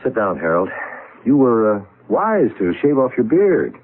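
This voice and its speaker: A disillusioned older partner in a 1970s radio play, sardonic and emphatic. His voice is rough and breathy, with very wide swoops in pitch. It sounds boxed-in, like vintage radio. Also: sing-song, measured pace. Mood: brusque. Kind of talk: 1970s radio drama acting. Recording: muffled vintage radio broadcast.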